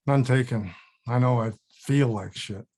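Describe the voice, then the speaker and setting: A man's voice: medium pitch, measured pace, a little mellow. A man acting a wry, weary older actor. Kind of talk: casual table read of a comedy-drama script.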